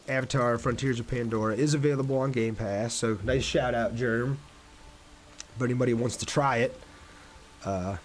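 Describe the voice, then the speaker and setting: A man's voice: mid-range voice, slight background noise. A man streaming a video game, chatting casually and conversationally with his viewers as he plays. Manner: conversational, reads viewer comments aloud and answers them. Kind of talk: casual livestream chat while playing a game.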